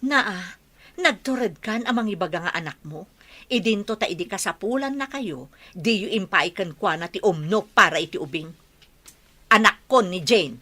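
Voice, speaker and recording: Scolding village aunt, Ilocano accent, bright forceful voice, sweeping animated intonation, challenging radio-drama delivery. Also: female voice, mid-range voice, normal speed. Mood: angry, scolding. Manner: scolding, challenging with rhetorical questions. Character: indignant, scolding village woman who raised her brother's child.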